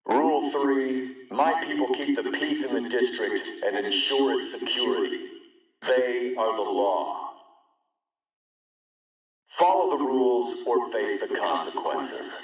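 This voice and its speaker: A hard warlord who rules a lawless district in a video game. He delivers his announcements slowly and with menace, in a commanding, deliberate tone. The voice rings out distant and heavily echoed, as if from public loudspeakers. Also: medium pitch. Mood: stern warning. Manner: commanding, deliberate, threatening, speechifying. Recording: echoing public-address announcement, distant, reverberant.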